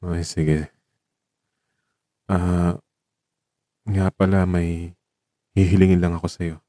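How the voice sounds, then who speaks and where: A man playing an ailing, tender and teasing man in an audio drama, speaking softly and haltingly with sentiment. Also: deep voice, level tone, no echo, studio quality. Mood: sad, pleading. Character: ailing young man, tender, teasing, grateful to his companion. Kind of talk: Tagalog audio drama acting.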